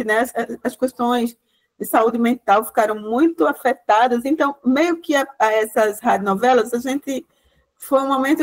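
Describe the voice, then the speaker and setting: A woman's voice: a little high, a little mellow, rough recording. A woman giving a rambling guest lecture over a video call, full of tag questions and restarts. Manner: rambling explanation, frequent "né" tags and restarts. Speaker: guest lecturer, project coordinator. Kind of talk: online class talk.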